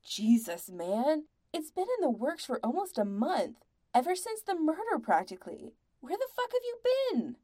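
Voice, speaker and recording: A woman's voice: medium pitch, lively intonation, a little slow, mellow, natural voice. A woman voicing a furious, exasperated young male band member who snarls and swears emphatically in a story.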